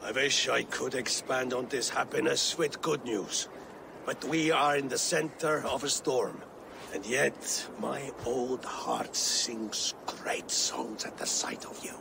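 An older actor playing an aging, proud and warm Viking chieftain in a video game, with a gravelly, weathered voice. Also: male voice, medium pitch, takes it slowly, noisy background. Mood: warm, weary. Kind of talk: video game cutscene acting.

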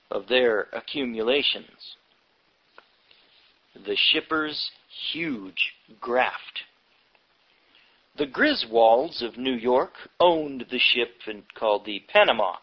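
Slow, lively-pitched man reading aloud. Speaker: audiobook reader. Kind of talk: scripted reading of a nonfiction history book. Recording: dull, lo-fi audiobook recording.

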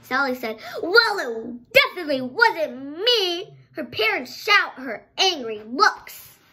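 A young girl reading a story aloud with dramatic, drawn-out emphasis, sometimes stumbling over words. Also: sits high, sing-song, sharp tone. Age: child, around 8 to 10. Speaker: child presenter reading a picture book aloud. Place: bedroom, indoors.

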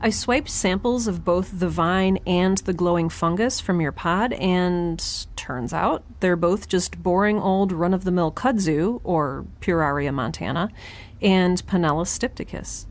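A woman's voice: fairly low pitch, a little slow, natural voice. A woman playing a quirky young scientist in space, recording a chatty, wry spoken log for an audio drama. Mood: musing, wry. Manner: chatty, wry, self-interrupting, informal.

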